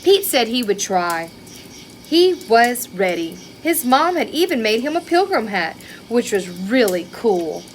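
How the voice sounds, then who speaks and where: Slow-paced woman reading to children. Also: high voice, a little hiss or hum. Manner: expressive, child-directed, with rhetorical questions and exclamations. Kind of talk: read-aloud picture-book storytelling with chatty asides.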